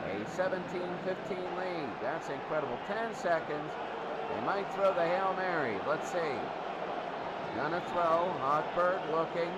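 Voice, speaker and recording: A man doing live play-by-play commentary of an American football game in short clipped phrases, excitable on big plays. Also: high voice, very noisy.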